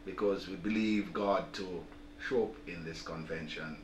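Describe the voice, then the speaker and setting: Older male bishop, halting and deliberate interviewee, distant mic in an echoey room. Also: sits low, steady tone. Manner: deliberate, halting, repeats words. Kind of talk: interview, inviting the public to an event. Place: small office, seated at a desk. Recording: roomy, distant mic, clear background noise.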